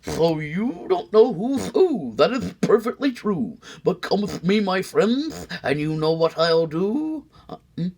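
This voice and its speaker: A man voicing a cartoon cat salesman, a smooth swindler with a loud, lisping, slurred voice and showy, wheedling patter. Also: sits high, very animated, unhurried, voice pushed up, full character voice. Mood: slick persuasion.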